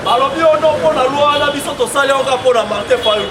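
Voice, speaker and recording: A Congolese man delivers a street rally speech at an extremely high pitch, pushing questions and slogans at his listeners. His words echo, with a loud crowd all around. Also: fast. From Democratic Republic of the Congo. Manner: emphatic, repetitive, prompting the crowd with questions and slogans. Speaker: political activist and street orator. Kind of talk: rallying political street speech with call and response. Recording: noisy outdoor crowd, roomy echoing sound, traffic. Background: loud crowd and vehicle noise.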